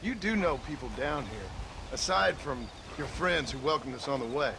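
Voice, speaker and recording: A weary American gunslinger in a game cutscene. His delivery is gruff, dry and terse, with noticeable noise behind him. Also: fairly high pitch, animated, moderate pace. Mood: impatient. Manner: terse, sardonic. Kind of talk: video game cutscene acting. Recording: clear background noise.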